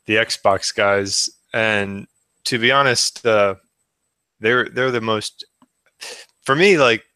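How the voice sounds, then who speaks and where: A man with a lowish, bright and crisp voice co-hosts a podcast. He speaks haltingly, repeating words while he thinks. The sound is dry and close. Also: no echo. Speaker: podcast co-host. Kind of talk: casual podcast chat.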